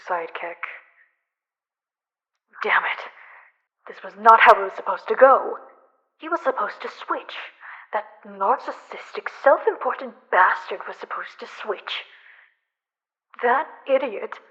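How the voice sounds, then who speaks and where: A woman performs a scornful villainess in an audio drama, fairly high in pitch and slow in pace. Her delivery is dramatic and indignant, and the sound is slightly dull. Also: a bit bright. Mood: shocked, hushed. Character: bitter, indignant female villain with reluctant concern. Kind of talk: ASMR audio roleplay drama.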